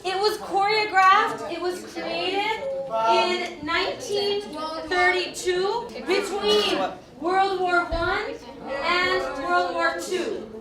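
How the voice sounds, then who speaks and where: Slow-speaking dance teacher, high-pitched woman. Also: fairly even intonation, echoey room, far from the mic, crowd noise. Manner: slow, deliberate, counts out beats. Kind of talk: classroom instruction.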